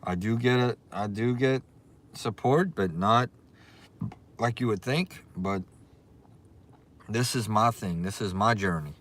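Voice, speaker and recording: A man with a strong Cajun accent, hosting a casual livestream, chatting in a relaxed way and greeting viewers by their comment names. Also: lowish voice, slow, pauses often. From Louisiana. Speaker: livestream host.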